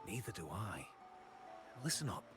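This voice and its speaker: A man's voice: on the low side, big pitch swings, normal speed, music in the background. A man playing an easygoing, loyal senior mob henchman in a video game, with a casual, worldly-wise manner. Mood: casual, guarded.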